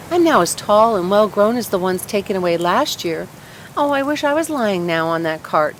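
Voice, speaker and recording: A woman's voice: medium pitch, a little hiss or hum, pitched above the performer's own voice, natural voice, rough recording. A woman voicing an eager, restless young fir tree in a children's storybook, full of wistful questions and exclamations.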